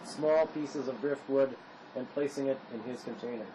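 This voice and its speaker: A man's voice: mid-range voice, fairly even intonation, moderate pace, noisy background. A man narrating a drama script, reading scripted stage directions in the present tense.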